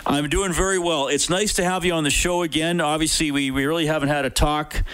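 A man's voice: fairly high pitch, fairly even intonation, a little fast, a bit bright, smooth, poor audio. A man hosting a sports radio show, talking and interviewing.

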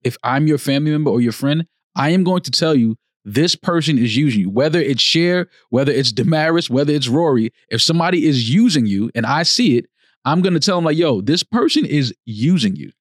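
A man of medium pitch argues his side in a casual podcast chat. He is emphatic and keeps hammering the same point. The sound is dry and close to the mic. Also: lively intonation, a little fast, no echo. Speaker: podcast co-host.

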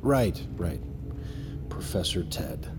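A man's voice: low-pitched, very animated, slow, music in the background, exaggerated voice. A middle-aged man playing a friendly, slightly awkward father and security chief in a naturalistic audio drama. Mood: casual, hesitant. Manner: warm, casual, hesitant.

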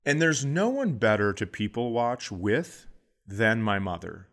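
A middle-aged man hosting a podcast, telling a funny story with lively asides and quick impressions of the people in it. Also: fairly low pitch. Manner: animated anecdote-telling, mimicking others in quotes. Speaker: podcast host and comedian. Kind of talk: comic storytelling chat.

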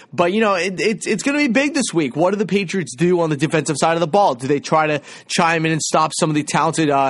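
Higher-voiced male sports podcast host. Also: no echo, clean studio sound. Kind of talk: casual sports commentary.

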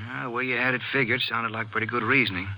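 An actor in a 1950s western radio drama playing an easygoing, chatty Texas Ranger partner, on a noisy vintage recording. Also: male voice, medium pitch, steady tone, fast talker, bright, forceful tone. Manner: folksy, chatty.

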